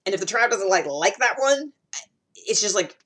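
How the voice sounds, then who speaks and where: A woman's voice: mid-range voice, expressive, normal speed, a bit bright. A woman giving a casual explanatory monologue, thinking aloud in a conversational way and leaning on key words. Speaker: personality typing vlogger.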